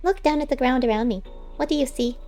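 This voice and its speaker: Woman voicing a high, wistful male squirrel. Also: fast talker, warm tone, soft background music. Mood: wistful, earnest. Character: magically clever male squirrel, wistful and bargaining. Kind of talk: audiobook character voice.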